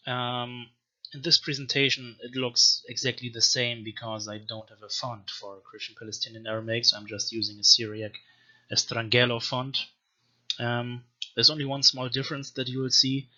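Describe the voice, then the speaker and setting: A man giving an informal, scholarly language lecture in a deliberate, measured manner, as a teacher explaining and reading aloud. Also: medium pitch, pushed and bright. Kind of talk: informal explanatory lecture with slow read-aloud text samples. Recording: dull, lo-fi microphone sound.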